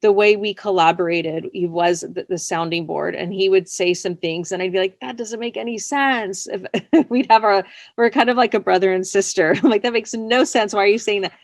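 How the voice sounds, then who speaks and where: A woman's voice: medium pitch, normal speed, no echo. A woman being interviewed as a writer, chatting casually and informally, with plenty of "like" and "you know". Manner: informal and rambling, often says "like" and "you know". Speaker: interviewed scriptwriter.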